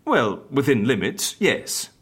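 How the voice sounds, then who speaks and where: A man playing a genial, eccentric secret-agency interviewer in an audio drama comedy, polite and theatrical as he pitches his services. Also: sing-song, takes it slowly, fairly bright tone, breathy edge, exaggerated voice. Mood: reassuring, eager. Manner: theatrical, polite, hesitant then exclaiming.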